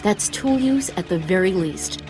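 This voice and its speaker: An actress playing a keen field scientist in a video game, brisk and analytical in manner. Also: female voice, mid-range voice, animated, music in the background, lightly acted. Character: keen woman field scientist studying alien creatures. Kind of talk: video game cutscene acting.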